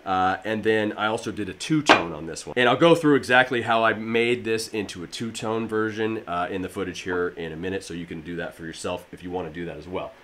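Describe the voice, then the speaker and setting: A man in his thirties with a slightly raspy voice, casually explaining a DIY project to camera in his home garage gym, with plenty of fillers. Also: lowish voice, fairly quick, few pauses, a loud crowd. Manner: rambling, filler-heavy, friendly explainer. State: allergies. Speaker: fitness DIY channel host. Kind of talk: casual how-to talk to camera.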